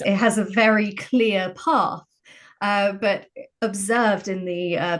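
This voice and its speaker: Conversational woman with a mid-pitched voice. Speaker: guest, game writer. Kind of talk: panel discussion.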